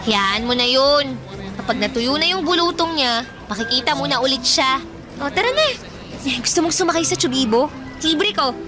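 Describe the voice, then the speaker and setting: A woman's voice: high-pitched, animated, moderate pace. An actress playing a carefree young woman who talks in a bubbly, teasing way, in radio drama style. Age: young adult. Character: cheerful young woman, carefree, fair-loving. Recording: music and crowd noise behind.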